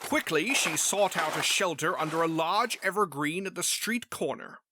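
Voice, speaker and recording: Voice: mid-range voice, animated, normal speed, noisy background. A narrator reading a scripted fantasy story in a storybook style.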